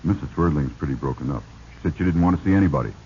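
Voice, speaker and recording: An actor playing a blunt, guarded police lieutenant in a 1940s radio drama, gravelly and official. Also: male voice, rumbling low, dark tone. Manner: brisk, official, clipped. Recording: noisy, dull 1940s radio recording.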